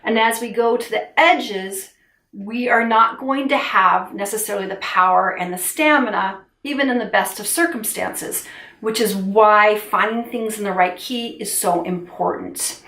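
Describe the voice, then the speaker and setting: A woman's voice: medium pitch, a little slow, recorded from a distance. Middle-aged female vocal coach, encouraging teacher, a bit off-mic in an echoey room. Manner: warm encouraging teacher, gestures with hands while explaining. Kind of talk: instructional video lesson. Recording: roomy sound, a little off-mic.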